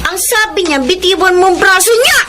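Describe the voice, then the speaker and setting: Sassy, protective Filipino schoolgirl, very high voice, self-assured, for radio drama. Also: animated, brisk, heavy background noise. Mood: defiant. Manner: sassy, self-assured, mixes English into Tagalog. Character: feisty, protective rich teenage girl student.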